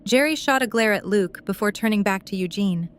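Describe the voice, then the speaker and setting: A woman's voice: mid-range voice, expressive, a little fast, smooth. A woman reading scripted story narration as the narrator of an audio drama.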